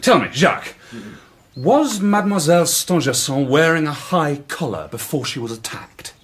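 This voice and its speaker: Eccentric sleuth for radio drama, male actor: self-assured and brisk, with big swings of intonation. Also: fairly high pitch, expressive, very noisy, natural voice. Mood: musing, probing. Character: brilliant cryptic amateur detective, eccentric, brisk and self-assured.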